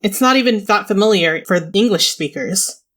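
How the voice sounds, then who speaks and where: A young woman from California reading a scripted personal essay in a reflective, measured and wry way. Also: medium pitch, animated, a bit bright. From Orange County, California. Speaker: video essayist.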